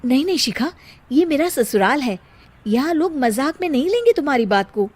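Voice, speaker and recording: Woman playing responsible married big sister, fretful and chiding, lively intonation, cartoon drama. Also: sits high, normal speed. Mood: scolding, worried. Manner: admonishing, worried. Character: sensible married elder sister, scolding yet fond.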